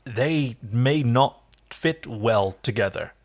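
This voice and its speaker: A man's voice: medium pitch, animated, slow pace. A man co-hosting a podcast, casually and thoughtfully discussing a TV episode. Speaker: podcast co-host.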